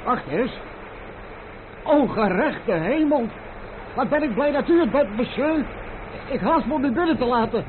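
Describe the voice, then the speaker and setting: A muffled, noisy old radio play recording. a fawning country innkeeper in a high-pitched voice. He gushes anxiously and humbly at his betters. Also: animated, a little slow, long pauses. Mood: wary, then relieved. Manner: effusive, obsequious, nervous.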